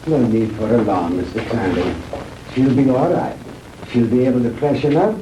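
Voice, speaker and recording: An actor playing a proud, fanatical mad scientist with a German accent, courteous and condescending, in 1960s B-movie style. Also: male voice, mid-range voice, natural voice. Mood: smug. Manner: grandiose, condescending, deliberate. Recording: noisy old 1960s film soundtrack.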